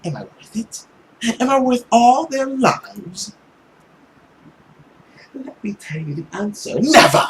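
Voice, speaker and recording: Here is a man acting a tormented vampire villain from a visual novel. He is sneering and theatrical, drawing out his words with very wide swings of pitch. A faint noise sits behind the voice. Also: expressive, a bit bright, low-quality recording. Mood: anguished fury. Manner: theatrical, drawn-out, sneering delivery. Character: bitter, anguished male vampire villain, mocking and theatrical.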